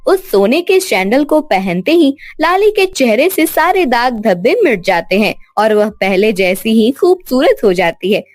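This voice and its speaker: A woman narrating a scripted children's moral story in an expressive storytelling style. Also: a little high, measured pace, few pauses, no room sound, faint music behind.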